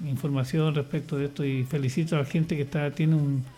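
Older man, rambling and mellow. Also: fairly high pitch, steady tone, a little fast, soft background music. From Chile. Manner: rambling, hesitant, many restarts and fillers. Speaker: radio guest, church choir leader. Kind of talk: casual radio chat.

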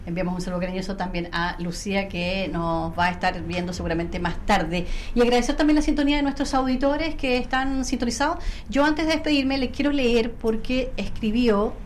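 A Chilean woman hosts a radio interview from a studio mic, briskly steering the conversation. Faint background noise runs beneath her voice. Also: fairly high pitch. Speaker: radio host. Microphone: studio microphone.

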